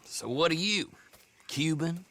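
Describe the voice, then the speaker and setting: An actor playing a shifty, simple-minded rustic American horse trader in a game, rambling and repetitive with an odd menace. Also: male voice, fairly high pitch, lively intonation, slow, sharp tone, slight background noise, heavily acted. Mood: needling. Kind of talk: video game cutscene acting.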